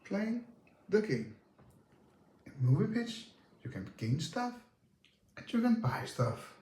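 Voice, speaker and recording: A man's voice: high voice, big pitch swings, slow, darkish tone. A man narrating board game instructions in a simple, step-by-step way. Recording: roomy, echoey sound.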